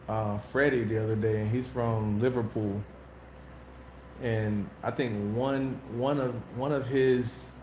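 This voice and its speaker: American guy, livestream host, loose slangy chat, echoey room, faint hiss. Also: mid-range voice. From United States. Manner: slangy, laid-back, laughs often.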